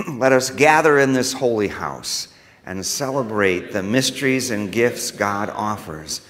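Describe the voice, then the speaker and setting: An American man speaking as a pastor leading worship, reading liturgy to a congregation in a measured, solemn manner. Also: fairly low pitch, a bit bright. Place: church sanctuary during a service. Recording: reverberant church hall.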